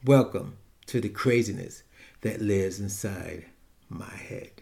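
A man's voice: deep voice, slow pace, gravelly, heavy background noise. A man, talking frankly and rambling through a casual personal monologue with many restarts. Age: 70s. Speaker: podcast host.

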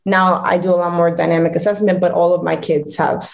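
A woman in her thirties, a podcast guest, explaining her work in a relaxed conversational way. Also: on the low side, fairly quick, gravelly. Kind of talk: interview conversation.

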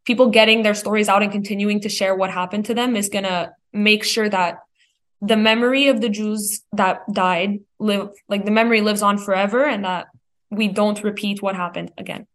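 Earnest, articulate teenage girl, medium pitch. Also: fairly even intonation, normal speed. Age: teens. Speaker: high school student. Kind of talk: thoughtful discussion.

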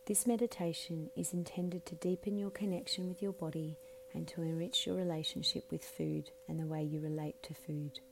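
A woman leading a scripted guided meditation in a soothing, measured way, her phrases often softening into breathiness. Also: deep voice, steady tone, rough recording. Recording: clearly audible background hum and noise.

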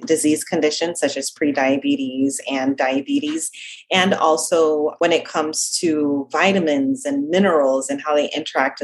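Brief: husky, low-pitched woman with a slight Jamaican accent, chatting as an interview guest. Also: poor audio. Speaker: dietitian guest. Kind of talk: casual interview talk.

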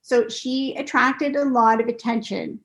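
Gravelly, fairly high-voiced woman guest. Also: measured pace. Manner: explains in steps, patient and clear. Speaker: podcast guest, quilter. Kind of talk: casual interview talk.

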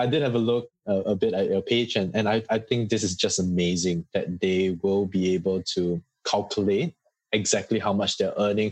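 A Singaporean man speaks in a fairly low, husky voice as an interview guest. He explains things earnestly and conversationally, with examples, in a dry, close sound. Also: darkish tone, dry recording.

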